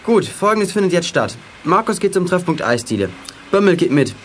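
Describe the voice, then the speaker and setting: A male actor playing a decisive teenage gang leader in a children's audio drama, brisk and matter-of-fact. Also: sits high, sing-song, slight background noise. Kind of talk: 1980s German children's audio drama acting.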